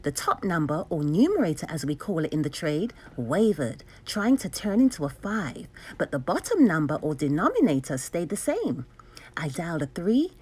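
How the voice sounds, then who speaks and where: A woman reading a children's storybook aloud expressively, enunciating clearly with dramatic emphasis. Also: on the low side, a little mellow. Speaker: storybook reader.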